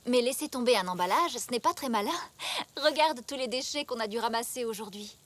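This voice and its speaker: A woman voicing a firm, no-nonsense zebra mother in a children's cartoon, brisk and reproving. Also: fairly high pitch, lively intonation, fairly bright tone. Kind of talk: French children's cartoon dubbing.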